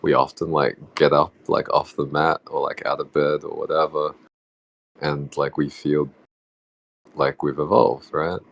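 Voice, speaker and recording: A man in a casual, reflective group discussion, musing tentatively and haltingly as he works through his thoughts. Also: rumbling low, flat delivery, gravelly. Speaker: book club discussion participant.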